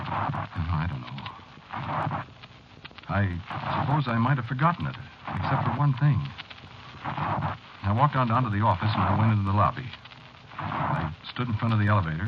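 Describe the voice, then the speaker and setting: An actor playing an anxious, haunted man confessing a premonition haltingly in a 1940s style radio drama monologue. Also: lowish voice, expressive, natural voice. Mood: haunted, uneasy. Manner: hesitant, halting, confiding, nervous. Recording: noisy, muffled vintage radio broadcast recording.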